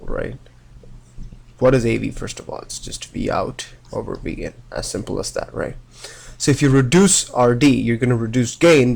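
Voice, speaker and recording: A man's voice: medium pitch, vocal fry, darkish tone. A young man with a cold giving a casual electronics lecture, often checking in with "right?" and restarting his sentences. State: has a cold.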